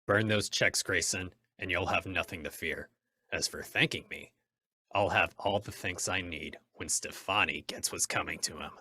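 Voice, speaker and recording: Man acting a deep, forceful masked vigilante. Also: moderate pace, heavily acted. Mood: stern. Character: masked male vigilante, stern and righteous. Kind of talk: old-time radio drama acting.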